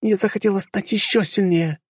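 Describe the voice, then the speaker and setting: A woman's voice: mid-range voice, steady tone, a little fast, slightly rough, no echo, clean studio sound, close to an everyday voice. A woman voicing a broken, bitter mother who speaks in a hollow, fixated way. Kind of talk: audiobook character voice.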